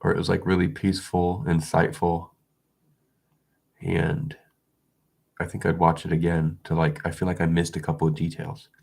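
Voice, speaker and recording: A man's voice: deep voice, completely flat delivery, moderate pace, creaky, long pauses, breathy edge. A man chatting casually to his viewers, congested and sniffly from an illness, rambling with frequent restarts. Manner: rambling, restarts, soft chuckles. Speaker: video creator. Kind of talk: casual vlog chat.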